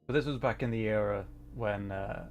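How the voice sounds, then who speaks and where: A man's voice: lowish voice, moderate pace, gravelly. Rambling man streamer over music. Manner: rambling, thinking aloud. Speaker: livestream host, gamer. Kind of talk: casual gaming livestream commentary.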